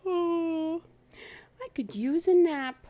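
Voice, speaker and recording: A woman voicing a kind, polite old male zookeeper in a children's storybook, gently expressive and courteous. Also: very high voice, very slow, pitched above the performer's own voice, exaggerated voice. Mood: sleepy. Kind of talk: children's storybook read-aloud.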